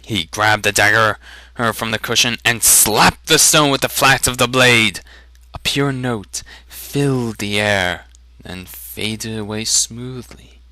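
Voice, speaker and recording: A male narrator gives a dramatic reading of fantasy fiction. He is deliberate and unhurried, with a very bright, forceful tone. The sound is dry and close. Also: lowish voice, no echo, low-quality recording. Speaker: amateur audiobook reader.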